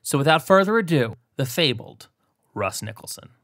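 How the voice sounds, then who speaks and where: A man hosting a podcast, giving an enthusiastic introduction and throwing in quick jokes. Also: mid-range voice, very animated, fairly bright tone, no room sound, studio quality. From New Jersey. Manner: enthusiastic, jokey host delivery. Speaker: podcast host.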